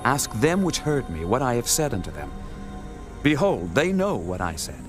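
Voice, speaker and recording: An actor playing a calm, authoritative holy teacher, speaking solemnly and formally in a dramatized scripture production. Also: male voice, mid-range voice, normal speed, music in the background, lightly acted. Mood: earnest reproof.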